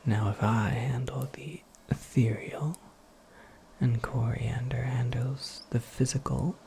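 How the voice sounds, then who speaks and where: A performer acts out a wry, soft-spoken witch mentor for a whispered ASMR roleplay. The breathy whisper moves very slowly and crackles with fry. The phrasing is formal, cryptic and dryly playful, over faint background noise. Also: medium pitch, fairly even intonation. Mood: dryly teasing.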